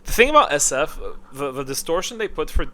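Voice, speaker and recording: A man's voice: a little high, sing-song, fairly quick, pushed and bright. A man giving casual, rambling tier-list commentary, thinking aloud and often answering his own questions. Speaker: video creator ranking game voice lines. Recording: clear background noise.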